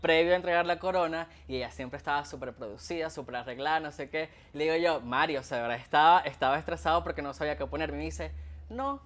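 A man's voice: fairly high pitch, fast, a bit bright. A Venezuelan man hosting a casual interview, chatty and playful, wearing headphones. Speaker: host. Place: home room. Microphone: headphones with a mic.

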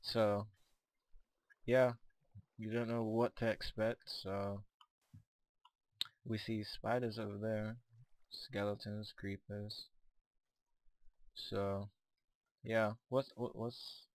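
A man with a hoarse, fading voice, chatting casually while playing a co-op game, often hesitant and stumbling over his words. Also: lowish voice, level tone, extremely slow, long pauses, a little mellow, no echo. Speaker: co-op game player. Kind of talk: casual gameplay chat.

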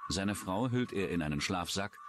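A man's voice: deep voice, lively intonation, normal speed, noisy background. A man reading scripted true-crime documentary narration as the narrator. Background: music.

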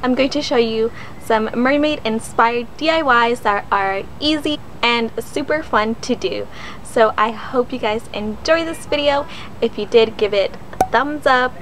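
A woman's voice: a little high, a little slow, fairly bright tone, slight background noise, low-quality recording. A young woman, bubbly and upbeat, walking viewers through a craft tutorial step by step. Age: young adult. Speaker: DIY vlogger. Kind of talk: casual tutorial voice-over. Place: indoors in front of a brick wall. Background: background music.

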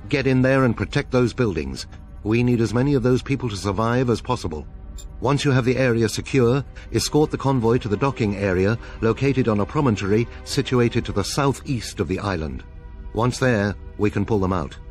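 An actor playing a serious military commander giving a scripted mission briefing in a crisp, urgent manner. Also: male voice, mid-range voice, expressive, fairly quick, darkish tone, faint music behind, close to an everyday voice.

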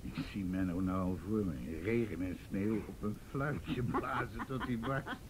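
A man playing a gruff, wry senior police colleague in a radio drama, with a gravelly voice and bantering way of talking. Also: on the low side, a little slow, lightly acted. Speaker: actor in a radio play. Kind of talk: Dutch radio drama acting, naturalistic dialogue. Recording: faintly noisy old radio play recording.

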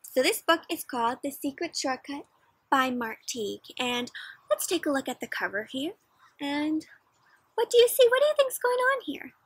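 A young woman doing an interactive read-aloud for children, speaking in an expressive, emphatic way. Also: high-pitched, moderate pace, no room sound. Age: young adult. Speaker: children's storybook reader. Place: outdoors in a playground.

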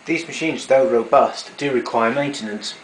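A man's voice: mid-range voice, moderate pace, a little hiss or hum, poor audio. A man giving a matter-of-fact, technical walkthrough of equipment, recorded indoors on a camera microphone. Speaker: hobbyist demonstrator. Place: indoor room at home.

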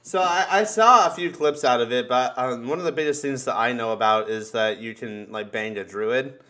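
A young man in casual conversation, joking and swearing freely in an animated way. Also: medium pitch, normal speed. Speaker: podcast host. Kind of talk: casual chat. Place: indoor room on a group video call.

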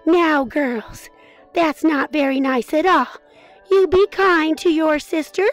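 Lady doing a sweet mama chicken for a kids story, super high voice, slow and cooing. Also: steady tone, faint music behind. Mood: gently instructing. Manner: cooing, encouraging, drawn-out delivery. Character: gentle, doting mother hen. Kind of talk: children's storybook character voice.

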